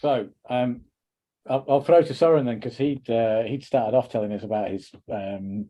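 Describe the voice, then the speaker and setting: A man's voice: mid-range voice, fairly even intonation, normal speed, mellow. A middle-aged man hosting a casual podcast chat, rambling and wry with self-deprecating jokes. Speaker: podcast host.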